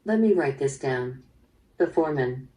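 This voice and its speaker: Low-voiced, probing female police detective. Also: expressive, mellow. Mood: probing, measured. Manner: measured, probing, businesslike. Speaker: voice actor in interactive audio drama. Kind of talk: interactive radio-drama acting. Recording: played through speaker, slightly distant.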